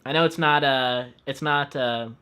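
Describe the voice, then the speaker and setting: A man's voice: medium pitch, fairly even intonation, normal speed, bright, forceful tone, a little hiss or hum. A man hosting a casual live stream interview in a friendly way, reading out viewer questions. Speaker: host.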